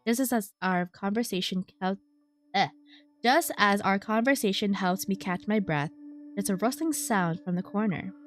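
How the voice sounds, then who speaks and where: A woman with a mid-pitched voice reads a game's story aloud as a streamer would. She is expressive and slips in casual, wry asides. Faint game music plays behind her. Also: moderate pace. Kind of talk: reading game text aloud with casual reactions. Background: game soundtrack.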